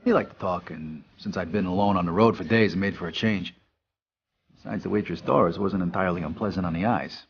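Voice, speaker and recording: An actor playing a jaded American trucker and war veteran, narrating a hard-boiled crime game in a matter-of-fact, world-weary way. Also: male voice, sits low, fairly quick, gravelly, dull audio, slight background noise. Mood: wry. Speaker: narrator and player character.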